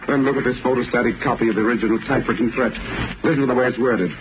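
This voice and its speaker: An actor playing a grave, stern city mayor in a 1930s radio drama. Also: male voice, mid-range voice, very fast, close to an everyday voice. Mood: grave, skeptical. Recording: noisy tinny 1930s radio recording.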